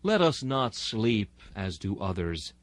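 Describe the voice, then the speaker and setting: A man's voice: fairly low pitch, big pitch swings, takes it slowly, dry recording. Solemn man preaching, very dry close sound. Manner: exhortatory, rhetorical, solemn. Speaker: sermon reader. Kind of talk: dramatic sermon reading in archaic Victorian English.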